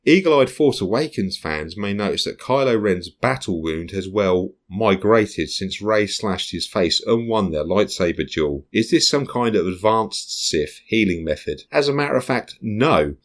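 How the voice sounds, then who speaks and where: A man with a low, deep voice hosts a relaxed fan podcast. He reads news snippets aloud, then drifts into hesitant musings full of ums. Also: unhurried, few pauses. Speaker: podcast host. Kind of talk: casual chat, reading news aloud.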